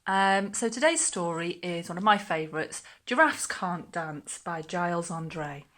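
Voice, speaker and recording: A woman reading a children's story aloud like a teacher, with expressive, dramatic storytelling. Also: on the low side, measured pace, background noise.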